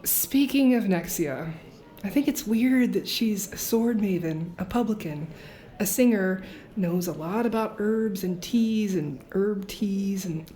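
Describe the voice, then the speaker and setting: A woman's voice: medium pitch, a little slow, dark tone, close to an everyday voice. A woman playing a wry, skeptical young woman. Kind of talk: audio drama acting.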